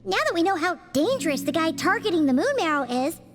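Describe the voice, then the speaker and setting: Tiny chatty fairy girl, very high and bouncy voice, long hall reverb, faint music behind. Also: big pitch swings. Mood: excitable. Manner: chatty, blurts out reactions, quick to exclaim. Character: small floating female fairy companion, chatty and excitable. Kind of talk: game cutscene voice acting.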